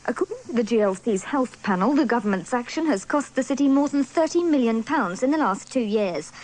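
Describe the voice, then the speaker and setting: A woman's voice: a little high, lively intonation, fairly quick, darkish tone, rough recording. A woman delivering a scripted news report as a radio reporter.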